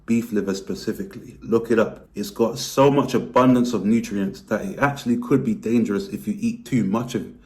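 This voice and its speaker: A man with a hoarse, worn-out voice, talking candidly to camera in a casual vlog and explaining things. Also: brisk, few pauses. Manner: candid, explanatory, lively pitch rises. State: hoarse, lost voice. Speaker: vlogger.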